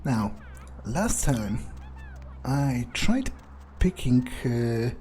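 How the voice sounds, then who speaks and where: A man's voice: mid-range voice, very animated, extremely slow, dark tone, slight background noise. A man improvising an in-character gameplay monologue as a sardonic vampire, musing hesitantly and casually to himself with dry, morbid humor. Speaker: let's player role-playing a character.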